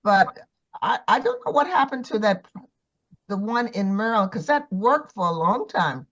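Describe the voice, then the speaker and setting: A slightly dull recording of a woman with a mid-pitched voice. She talks informally in a group discussion, conversational and turning questions to the others. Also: very animated, moderate pace, fairly bright tone. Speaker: church discussion participant.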